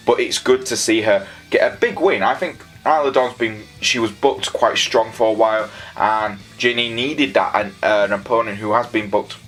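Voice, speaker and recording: A man's voice: lowish voice, fairly bright tone. A man with a Yorkshire accent gives an enthusiastic, opinionated, casual review as a wrestling show reviewer. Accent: Yorkshire English. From Leeds, England. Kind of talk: casual scripted-free review commentary.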